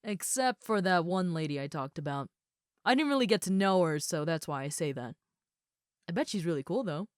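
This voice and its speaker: Woman playing a smooth, low-voiced friendly ogre roommate. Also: animated, brisk, no echo, studio quality, natural voice. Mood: chatty, upbeat. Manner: casual, chatty, with hesitant asides. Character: friendly, muscular female ogre roommate, easygoing and caring. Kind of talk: roleplay audio acting, addressed to the listener.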